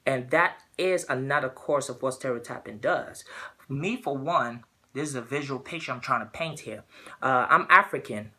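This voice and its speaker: A man's voice: mid-range voice, normal speed, pushed and bright. A young man from Ghana giving an earnest persuasive speech, often repeating words as he searches for the next phrase.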